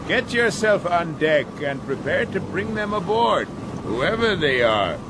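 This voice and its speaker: An actor playing a commanding, wry, sardonic sea captain in a radio drama. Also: male voice, a little high, big pitch swings. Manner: commanding, wry, drawn-out delivery. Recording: loud wind and sea ambience.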